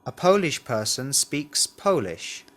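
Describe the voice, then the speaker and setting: Male language-course reader, slow and careful delivery, lively intonation. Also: mid-range voice. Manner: deliberate, carefully enunciated model sentences. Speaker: language lesson presenter reading words and answers. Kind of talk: scripted language drill, read aloud.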